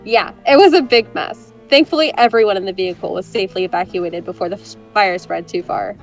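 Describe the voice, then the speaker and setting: A woman's voice: medium pitch, a little fast, dull audio, soft background music, natural voice. A young woman playing a brash, sarcastic, teasing friend in a scripted romantic comedy audio drama. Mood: sardonic, animated. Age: young adult. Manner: sardonic, teasing.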